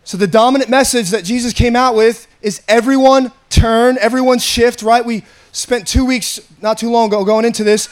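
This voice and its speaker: A pastor with a raspy, slightly hoarse voice, preaching an earnest, conversational sermon and often repeating himself. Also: male voice, very high-pitched, fairly even intonation, normal speed, few pauses.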